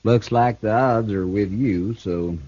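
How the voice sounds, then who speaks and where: An actor playing a cool, smart escaped killer and thief in a 1950s radio drama, talking with a smooth, taunting ease. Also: male voice, fairly low pitch, slow, mellow, dry recording. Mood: smug, taunting. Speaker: outlaw prisoner. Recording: dull old radio broadcast recording.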